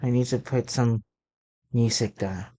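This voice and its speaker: A gaming content creator giving casual let's play commentary in a halting, rambling way. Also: medium pitch, fairly even intonation, warm tone.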